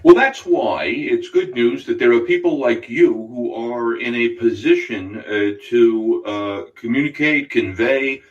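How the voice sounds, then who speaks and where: A man with a New York accent hosting a casual radio interview, halting with many fillers and restarts. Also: medium pitch, lively intonation, far from the mic, dull audio. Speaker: radio host.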